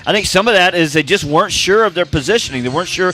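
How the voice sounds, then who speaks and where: A man giving casual radio soccer analysis in a folksy, chatty way, with many "uh" pauses. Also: high-pitched, animated, pushed and bright, soft background music, low-quality recording. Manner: folksy and chatty, frequent uh fillers.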